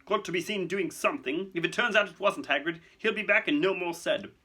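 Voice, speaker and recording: A man's voice: high-pitched, a little fast, sharp tone, breathy edge, pitched above the performer's own voice, exaggerated voice. A man voicing a portly, anxious, self-important government minister in a clipped, flustered way for a storybook. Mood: uncomfortable.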